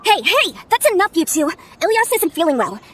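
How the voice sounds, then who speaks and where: High-pitched, spirited young sister. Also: female voice, very animated, normal speed. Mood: protective, bossy. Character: spirited, caring young sister, bossy yet supportive. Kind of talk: scripted video game dialogue.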